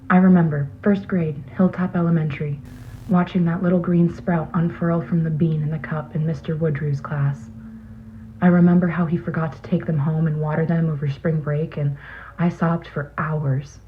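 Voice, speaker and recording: Woman acting as troubled botanist, low female voice, hesitant, intimate confession, muffled noisy tape, radio drama monologue. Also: fairly even intonation, normal speed, lightly acted. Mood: wistful. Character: troubled woman botanist confiding in an old college friend. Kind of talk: dramatic monologue as a recorded message.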